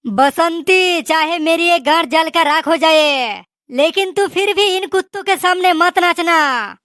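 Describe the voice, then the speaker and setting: A man performs a defiant cartoon landlord in an extremely high, pitched-up voice. He is mouthy and stubborn, insisting on his point in exaggerated comic style. The recording is dry, with little room sound. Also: unhurried, pushed and bright, dry recording, exaggerated voice. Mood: dramatic.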